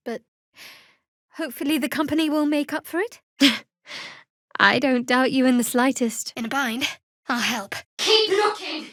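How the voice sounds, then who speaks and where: A young half-elf cleric woman from a fantasy video game, guarded and devout. Her voice sits on the high side and is recorded very dry. She speaks in a measured way, with sardonic, wry restraint. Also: fairly bright tone, no echo. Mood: brisk, then battle urgency. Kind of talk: video game character voice acting.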